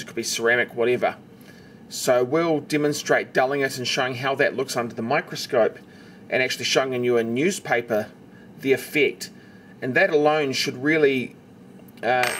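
A man's voice: mid-range voice, a bit bright. A man with a mid-range voice narrates a hands-on tutorial in a casual way. He explains each step carefully. The homemade recording carries a faint layer of background noise. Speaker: knife maker presenting a tutorial. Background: noticeable background noise.

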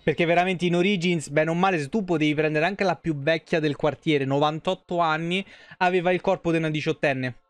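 A man in his thirties doing casual live game commentary. His voice sits a bit high, and he talks colloquially with swearing into a close microphone, very dry and without room echo. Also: fairly even intonation, brisk, clean tone. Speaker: game streamer. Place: small room at home.

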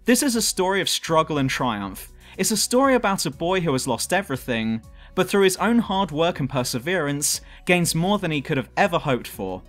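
A man's voice: fairly high pitch, sing-song, normal speed, a bit bright, faint music behind. A man reading a scripted countdown review as host, with a cheeky, irreverent manner. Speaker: host and reviewer of a top ten list show.

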